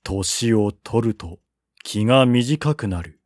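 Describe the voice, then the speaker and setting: Male narrator for literary historical fiction: unhurried, formal, mid-pitched, close dry recording. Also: expressive, a little mellow, no echo. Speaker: audiobook narrator.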